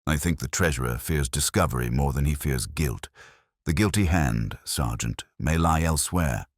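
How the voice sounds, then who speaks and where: A man voicing a mild, thoughtful police inspector in a storybook, calm, deliberate and softly reasoning. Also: a bass voice, no echo, clean studio sound. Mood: quietly reasoning. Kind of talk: storybook character dialogue.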